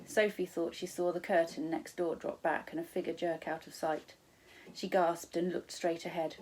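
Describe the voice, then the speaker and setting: A woman's voice: fairly low pitch, a little mellow, husky, poor audio. A woman with a British accent, a primary school teacher, narrating a children's storybook expressively.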